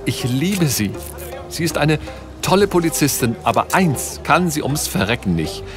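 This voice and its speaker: An actor playing a cocky but easily flustered chief inspector in a comic radio play, stammering and exclaiming. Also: male voice, fairly high pitch, sing-song, normal speed, over music. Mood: alarmed.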